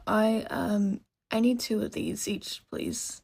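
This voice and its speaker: A woman's voice: mid-range voice, steady tone, takes it slowly, vocal fry, darkish tone, breathy edge, exaggerated voice. A woman voicing a shy, nervous teenage boy who speaks politely and hesitantly, with small stammers.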